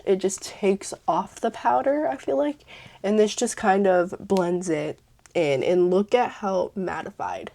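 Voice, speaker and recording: A teenage girl talking through her makeup routine in a casual, chatty way, her voice hoarse from a cold. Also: mid-range voice, darkish tone. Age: teens. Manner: chatty, filler-heavy, self-mocking. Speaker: beauty vlogger.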